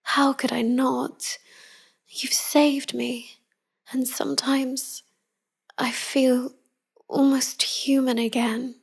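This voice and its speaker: Hushed, breathy vampire wife, played by a woman. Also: high-pitched, flat delivery, exaggerated voice. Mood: loving, wistful. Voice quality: soft, breathy, often whispering. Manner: slow, hushed, confiding. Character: tender, melancholy vampire wife devoted to her human lover. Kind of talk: intimate whispered pillow-talk roleplay audio.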